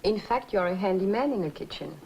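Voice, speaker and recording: An actress playing a gentle, caring woman in a 1960s film, with a soft, coaxing manner. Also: mid-range voice, expressive, fast, muffled recording, close to an everyday voice. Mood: tender, curious. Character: gentle, caring young woman, conciliatory companion. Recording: 1960s film soundtrack, faint noise.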